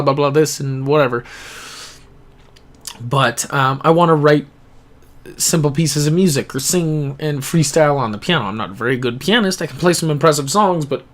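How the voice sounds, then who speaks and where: A young man talking earnestly and reflectively into his camera in a rambling personal vlog, pausing and restarting as he thinks. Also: on the high side. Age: young adult. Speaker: gaming YouTuber. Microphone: camera-mounted microphone, close.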